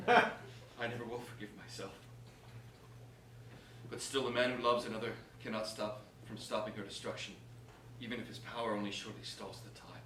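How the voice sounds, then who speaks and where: A man's voice: on the low side, fairly even intonation, moderate pace, long pauses, sharp tone. A man playing a battered, devoted guardsman who pleads solemnly and earnestly in a staged verse reading. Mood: sorrowful. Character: Michael, battered loyal guardsman, emotional and devoted. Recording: echoey room, distant mic, clear noise.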